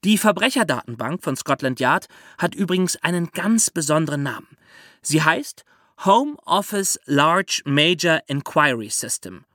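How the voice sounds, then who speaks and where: A man's voice: fairly high pitch, sing-song, a little slow, pushed and bright, dry recording, studio quality. A man hosting a podcast, explaining things conversationally and addressing young listeners directly. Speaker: podcast host.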